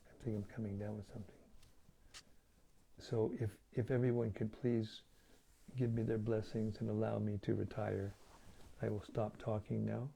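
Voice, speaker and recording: A hoarse man losing his voice, hosting a daily scripture reading in a relaxed, chatty way over an online video stream. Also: lowish voice, normal speed, long pauses. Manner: relaxed, chatty, with light chuckles. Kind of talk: casual talk and Q&A on scripture.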